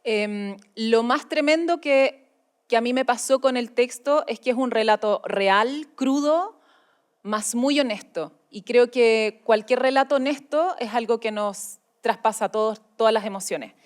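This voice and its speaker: A woman's voice: on the high side, measured pace, clean tone. A woman with a Chilean accent presenting live as an event host, informal and playful. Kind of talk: live presentation, casual chat.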